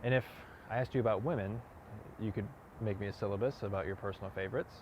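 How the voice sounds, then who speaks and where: A man performing a dramatic monologue as a wounded, earnest man confronting someone, halting and repeating words for emphasis. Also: lowish voice, a little mellow, lightly acted. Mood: quiet, reproachful. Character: wounded, earnest man confronting a cocky youth, grieving lover. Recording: dull lo-fi audio, clear outdoor noise.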